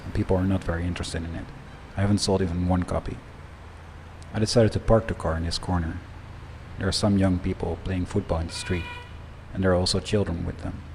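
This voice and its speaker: Low, mellow-voiced man narrating a monologue. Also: a little hiss or hum. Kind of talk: scripted first-person monologue narration.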